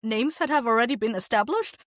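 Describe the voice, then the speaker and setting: A woman with a fairly high voice answers as an interview guest. She explains her directing process in an informal, conversational way. The recording is dry, without room sound. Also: a little fast, no room sound.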